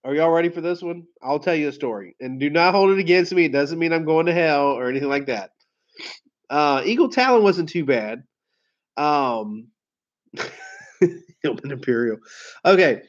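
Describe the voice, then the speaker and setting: A man hosting a casual livestream. He tells rambling stories, laughs and swears easily, and sounds hoarse from a head cold. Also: a little high, expressive, moderate pace, pauses often. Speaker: car sales livestream host. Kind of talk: casual livestream chat with viewers. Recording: dull lo-fi stream audio.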